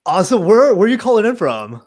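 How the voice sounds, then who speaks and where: A man's voice: high voice, sing-song, fairly quick, darkish tone. A man hosting a programming meetup livestream over a video call, informal and friendly with lots of "uh" and "like". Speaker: host of a Python meetup livestream. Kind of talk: casual livestream interview hosting.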